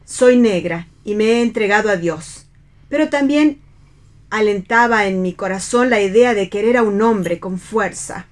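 An actress in a radio play voicing a humble, devout young salvationist in love, earnest and lyrical. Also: female voice, medium pitch, unhurried, lightly acted. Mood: tender, wistful. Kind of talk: read radio theatre.

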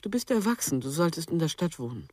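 A cold mother in middle age, her voice low and dark. She is guarded and evasive, speaking curtly in a closely miked radio drama. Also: very animated, quick pace, no echo, clean studio sound. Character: cold defensive mother of a grown daughter. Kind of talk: German radio crime drama acting.

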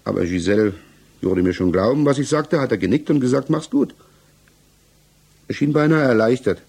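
An actor playing a troubled, defensive suspect under interrogation in a German radio play, justifying himself haltingly. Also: male voice, medium pitch, lively intonation, vocal fry, darkish tone, husky. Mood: troubled, explaining.

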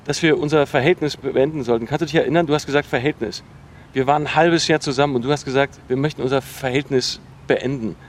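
An actor playing a bitter, obsessive ex-boyfriend in a naturalistic radio drama, speaking insistently in halting, repetitive bursts. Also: male voice, a little high, a little hiss or hum, natural voice. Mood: bitter, reproachful. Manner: insistent, accusatory, halting with repeated words.

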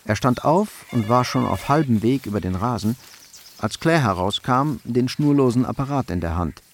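An actor narrating a hard-boiled radio crime drama in a measured, matter-of-fact way. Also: male voice, medium pitch, animated, slight background noise, close to an everyday voice.

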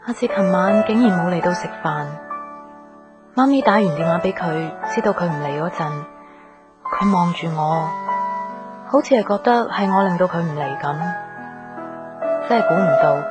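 Actress as a low-voiced, heartsick young Cantonese woman. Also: moderate pace, long pauses, lightly acted. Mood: wistful, disappointed. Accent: Hong Kong Cantonese. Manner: reflective, wistful. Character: young woman back in Hong Kong, hurt, disillusioned in love. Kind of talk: radio drama inner monologue. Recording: music loud behind the voice. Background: plucked string music.

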